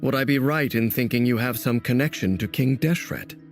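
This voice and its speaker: A man's voice: medium pitch, normal speed, faint music behind, natural voice. An actor voicing a grave, knowing man in a video game, speaking formally, courteously and with deliberation. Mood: measured, curious. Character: grave, knowing man, philosophical about fate. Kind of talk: scripted game voice acting.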